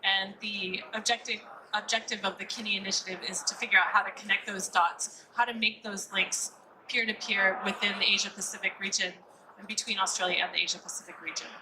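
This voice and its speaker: A woman's voice: medium pitch, flat delivery, fairly quick, noisy background. A woman from California with a Californian American accent, giving an upbeat conference presentation and asking and answering her own questions.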